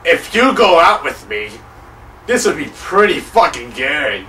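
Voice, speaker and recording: A man's voice: a little high, big pitch swings, unhurried, sharp tone, distant mic, slight background noise, low-quality recording. A young man co-hosting a couch let's play, joking, swearing and laughing while reading game text aloud. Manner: jokey, profane, laughs often, reads lines aloud. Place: living room, on a couch.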